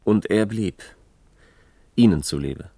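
An actor in a science-fiction radio play, playing a polite, probing male interviewer with a gravelly voice. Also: fairly low pitch, expressive, slow pace, mellow, no echo, clean studio sound, exaggerated voice. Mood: gently provoking. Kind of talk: German science-fiction radio drama, questioning. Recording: faintly hissy vintage radio recording.

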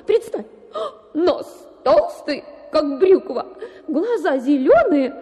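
An actress playing a self-pitying, capricious young wife in a radio drama, with an affected, sighing, melodramatic manner. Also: female voice, sits high, sing-song, slow, a little mellow, exaggerated voice. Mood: animated, gushing. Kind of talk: dramatized literary short story for radio.

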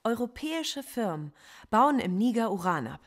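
An actress playing a defiant middle-aged philosophy professor under interrogation in a German radio play, measured and coolly articulate. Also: female voice, on the high side, very animated. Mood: bitter, lecturing.

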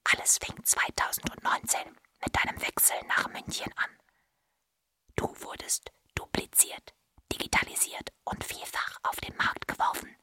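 A woman playing an insinuating assembly-line writer in a stage drama, whispering breathily and explaining in a deliberate, matter-of-fact way. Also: sharp tone, no room sound. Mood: ominous, revealing. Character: insinuating female assembly-line script writer, unmasking the system. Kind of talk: whispered stage monologue in German.

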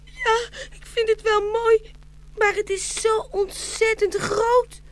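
A woman's voice: very high-pitched, fairly even intonation, slow pace, full character voice. An actress playing a young porcelain shepherdess in an audio fairy tale, sweet and devoted, girlish and pleading. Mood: tearful.

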